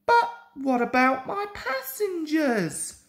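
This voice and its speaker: A woman's voice: high-pitched, big pitch swings, takes it slowly, faint music behind, pitched above the performer's own voice, full character voice. A woman voicing an anxious, earnest young male tank engine in a children's storybook.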